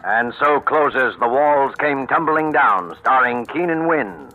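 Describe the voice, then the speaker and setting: A man's voice: mid-range voice, takes it slowly. An American man reading scripted announcements for a 1940s radio program on a muffled vintage recording. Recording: muffled vintage radio, loud music behind.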